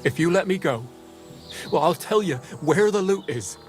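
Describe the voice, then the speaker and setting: An actor playing a cornered, cheeky medieval thief in a video game, with rough, colloquial, hedging speech. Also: male voice, high voice, animated, normal speed, music in the background. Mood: bargaining. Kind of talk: video game drama acting.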